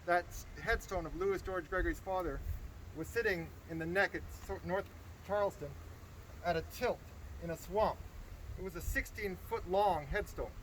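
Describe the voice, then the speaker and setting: Guy, kinda high voice, earnest outdoor speech, lots of background noise. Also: moderate pace, a bit bright, low-quality recording. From Estonia. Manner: earnest, grateful, storytelling. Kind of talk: outdoor public speech, personal story. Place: outdoors by a river.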